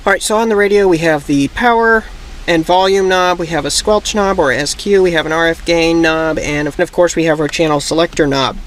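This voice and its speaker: A man speaks in a fairly high-pitched voice as the host of an instructional video. His delivery is casual but methodical, laying out each point step by step. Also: brisk, a bit bright, a little hiss or hum.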